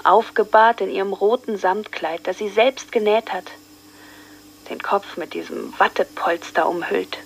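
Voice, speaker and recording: A woman's voice: medium pitch, unhurried, gravelly, a little hiss or hum. An actress playing a solemn, grieving small-town woman in a radio drama.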